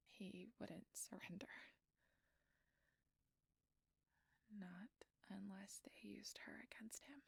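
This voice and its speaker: Woman voicing a frightened female changeling, creaky voice, hushed and halting, guilt-ridden, desperate, fantasy audio roleplay, close dry sound. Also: medium pitch, no echo, full character voice. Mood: shaken, hushed. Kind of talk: intimate fantasy audio roleplay, dramatic acting.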